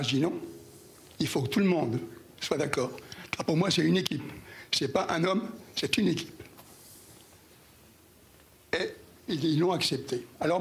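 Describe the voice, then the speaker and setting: A hoarse older man giving a speech to an audience, halting and repeating words as he recalls past events. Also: a little high, slight background noise. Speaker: former union president giving an anniversary speech.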